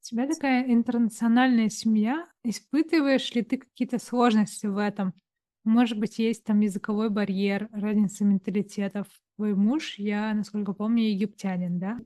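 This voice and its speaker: A woman's voice: mid-range voice, fairly even intonation, normal speed, warm tone, no room sound. Dry-sounding woman host asking questions. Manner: curious, asks questions. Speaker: interview host. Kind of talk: casual interview conversation.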